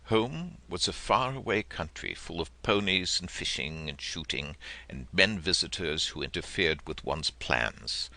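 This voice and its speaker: A man narrating a literary story as an audiobook, with an expressive, dramatic storytelling manner. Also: deep voice, a little slow.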